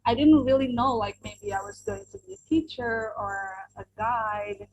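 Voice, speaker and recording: A woman's voice: a little high, expressive, moderate pace, lo-fi sound, slight background noise. A young adult woman from Indonesia, an English teacher whose first language is Indonesian, answering interview questions earnestly with many fillers and restarts.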